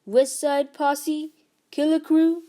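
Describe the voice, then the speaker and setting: A woman's voice: sits high, steady tone, slow, warm tone, voice pushed up. A woman voicing a cocky, mocking tall teenage boy, a bully with brash, slangy taunts, in a storybook reading.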